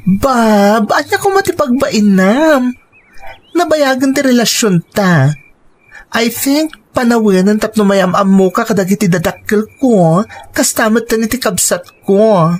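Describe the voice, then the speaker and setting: Actress as persuasive young woman, Ilocano accent, medium pitch, devoted, earnest radio drama delivery. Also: a little slow, natural voice.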